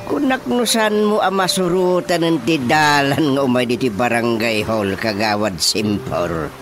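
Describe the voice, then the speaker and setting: Blustering village chairman male actor, Ilocano accent, unhurried, exclamatory delivery, radio drama with music underneath. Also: mid-range voice, animated, lightly acted. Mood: grumbling.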